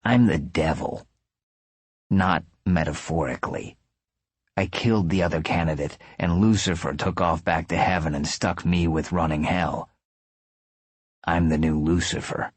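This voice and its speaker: A man's voice: low voice, fairly even intonation, measured pace, breathy edge, no room sound. A man playing a wry, blunt male antihero with a casual way of talking in an audiobook. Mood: confessing.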